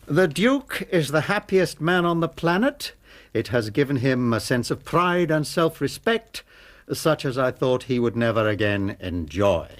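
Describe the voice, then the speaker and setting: A man playing an oily, menacing English gentleman blackmailer in a radio drama, falsely polite and theatrical. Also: mid-range voice, lively intonation, measured pace, lightly acted. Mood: mocking relish. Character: silky, menacing older gentleman blackmailer, mock-courteous.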